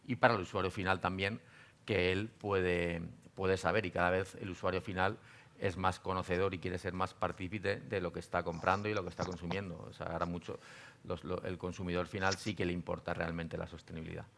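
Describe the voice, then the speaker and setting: A man's voice: low-pitched, little pitch movement, fairly quick, gravelly, a bit bright. A man speaking as a guest on a panel, earnest and restarting his phrases often. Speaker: panel guest, company representative. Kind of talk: panel talk.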